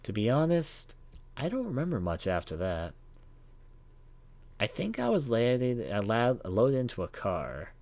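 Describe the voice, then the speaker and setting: A man's voice: medium pitch, sing-song, measured pace, a little mellow. A man reading visual novel narration aloud for a let's play, dramatic and sometimes stumbling over words. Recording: background noise behind voice.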